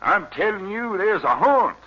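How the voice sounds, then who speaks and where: Slow, superstitious rural American yokel. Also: male voice, high voice, big pitch swings, pushed and bright, heavily acted. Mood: fearful warning. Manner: insistent, simple. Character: superstitious village yokel, stubborn believer in ghosts. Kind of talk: 1950s radio drama acting. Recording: muffled old radio recording, crowd murmur behind.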